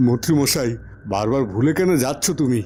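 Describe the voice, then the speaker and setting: A man's voice: medium pitch, fairly quick, warm tone, a little hiss or hum, lightly acted. A man playing a righteous captive king in a cartoon, giving solemn, preachy warnings. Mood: admonishing. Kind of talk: Bengali cartoon voice acting. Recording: faint music behind. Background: faint background music.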